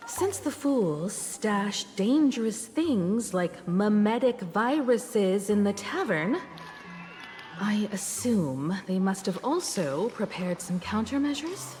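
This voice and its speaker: Slow-spoken, composed game heroine. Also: female voice, medium pitch, expressive, darkish tone. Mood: calm, inquiring. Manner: measured, reflective. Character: composed, thoughtful, mature female traveller. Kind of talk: video game voice acting. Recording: music behind the voice.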